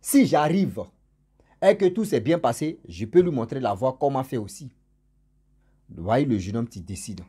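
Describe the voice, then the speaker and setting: Husky man with a cold telling a story. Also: animated, normal speed. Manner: emphatic and repetitive, swinging between loud stresses and trailing low endings. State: has a cold and a cough. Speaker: storyteller for his channel's subscribers. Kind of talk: casual spoken storytelling.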